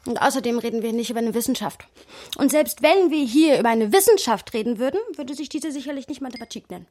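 An actress playing a witty, argumentative woman in a radio play, musing wryly and digressively in a slightly husky voice. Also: on the high side, animated, fast talker, darkish tone, no echo. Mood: argumentative, playful. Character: Sophia, witty, argumentative woman musing about her friend. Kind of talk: radio play narration and dialogue.

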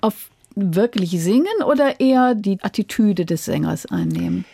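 Female radio host: dark, relaxed tone with some fry, measured delivery, dry studio. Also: medium pitch. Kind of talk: composed radio interview.

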